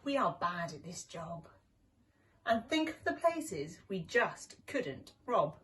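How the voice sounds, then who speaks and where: A woman voicing an eager male robber dog sidekick in an expressive storybook manner. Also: on the low side, slow pace, distant mic, heavily acted. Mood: discouraged. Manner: exclamatory, expressive storybook delivery.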